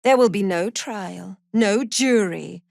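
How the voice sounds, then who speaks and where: A woman playing a cruel, zealous cult prison warden in a fantasy video game, haughty and sardonic, with measured, threatening delivery. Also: mid-range voice, gravelly, a little mellow, no echo, studio quality. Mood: menacing. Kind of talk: video game character voice acting.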